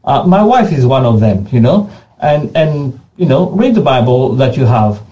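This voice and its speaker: A man's voice: mid-range voice, very animated, normal speed, warm tone, dull audio. A man whose first language is Creole, answering questions in earnest and often repeating and restarting words as he goes. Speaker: pastor being interviewed. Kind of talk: unscripted interview answers.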